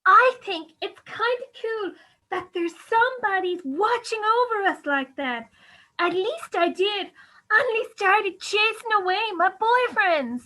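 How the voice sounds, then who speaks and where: Casting: woman as bold sulky teen girl, very high bright voice, slower delivery, radio play. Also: animated, heavily acted, rough recording. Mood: brash. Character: sulky, bold teenage daughter. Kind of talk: amateur radio drama acting.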